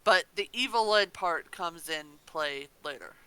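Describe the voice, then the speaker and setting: A woman's voice: lowish voice, lively intonation, a little slow, pushed and bright, clear, resonant tone, dry recording, rough recording. A woman co-hosting a podcast, chatting casually and chipping in with comments and banter. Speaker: podcast co-host.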